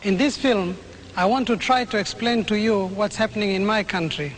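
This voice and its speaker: A man narrating a documentary in an earnest, measured scripted delivery. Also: high-pitched, poor audio.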